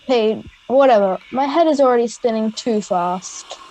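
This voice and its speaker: A woman's voice: a little high, moderate pace, warm tone, a little hiss or hum, natural voice, rough recording. An Australian girl of ten or eleven playing an offhand, grumbling schoolgirl in an amateur radio play. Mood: puzzled. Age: child, 10 to 11. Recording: home video-call recording.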